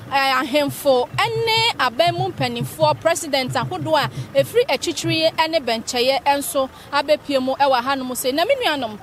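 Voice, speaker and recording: A woman's voice: high voice, a little hiss or hum. A Ghanaian woman giving live event commentary as a media reporter, with a Ghanaian accent.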